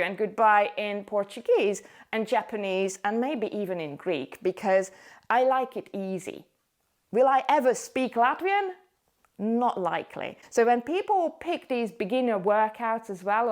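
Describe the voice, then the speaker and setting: A woman's voice: fairly high pitch, a little mellow. A woman in her forties giving an encouraging talk to camera as a hula hoop fitness instructor. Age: 40s. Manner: upbeat, encouraging, coaxing.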